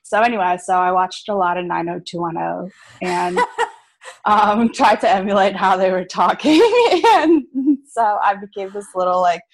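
A woman in her thirties from South Africa, telling her story in a chatty interview, full of ums and little laughs. Her voice has a gravelly, creaky edge at a middle pitch. The sound is close and dry. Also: lively intonation, normal speed, no echo. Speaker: farmer and innkeeper.

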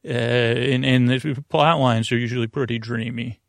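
A man hosting a sleep podcast in a meandering, halting bedtime monologue, full of restarts and whimsical, punning tangents, with a gravelly voice. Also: mid-range voice, steady tone, dry recording. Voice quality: creaky, gravelly. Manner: whimsical, punning, silly asides.

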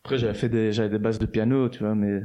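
A man's voice: fairly low pitch, steady tone, fast, mellow. A man, chatting casually as an interview guest, loose and slangy with lots of fillers and restarts. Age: 20s. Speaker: guest musician.

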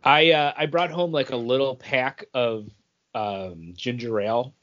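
A man's voice: medium pitch, a little slow, a bit bright. An American man speaking casually as a podcast co-host. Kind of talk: casual chat. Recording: dull lo-fi voice recording.

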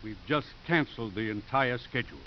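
An actor playing a feisty, righteous elderly priest in a 1950s radio drama. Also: male voice, medium pitch, brisk, lightly acted. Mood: stern, hurt. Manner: wry, righteous. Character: feisty elderly priest, earnest head of a college. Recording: muffled, hissy 1950s radio broadcast.

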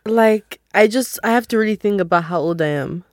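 Female podcast co-host in her twenties, mid-pitched, dry close sound, easygoing chat. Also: darkish tone, no room sound. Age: 20s. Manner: easygoing, drawn-out filler "like". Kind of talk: casual chat.

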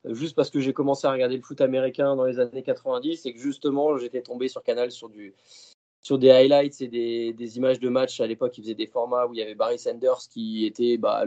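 Podcast guest, male, warm, rambling, muffled lo-fi sound. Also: mid-range voice. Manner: chatty, rambling, many fillers and restarts. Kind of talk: casual podcast chat. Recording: dull lo-fi sound.

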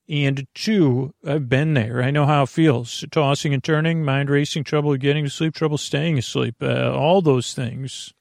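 Creaky-voiced man rambling soothingly. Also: mid-range voice, steady tone, no echo, clean studio sound. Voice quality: creaky, gravelly. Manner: halting and meandering, with frequent tangents and self-corrections; gently silly. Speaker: podcast host. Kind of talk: rambling, soothing bedtime monologue.

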